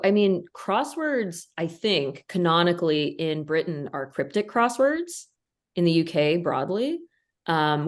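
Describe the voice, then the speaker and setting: A woman, a crossword constructor, explaining fluently and with enthusiasm in an interview. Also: low voice. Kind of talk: casual interview answer.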